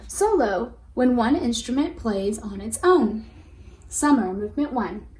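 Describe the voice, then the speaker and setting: A woman's voice: a little high, lively intonation, measured pace, darkish tone. A woman teacher reading a children's picture book aloud in an instructive way, explaining as she goes. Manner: instructive, addresses listeners with questions and prompts. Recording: roomy echo with faint background noise.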